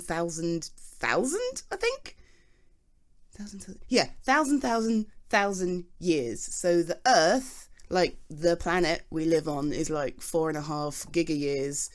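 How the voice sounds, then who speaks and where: A woman's voice: on the low side, expressive, a little slow. A British woman playing a chatty, flustered geology nerd in an intimate audio roleplay, rambling fondly and animatedly as she explains things to someone close. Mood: enthusiastic, explaining. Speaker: ASMR roleplay voice performer.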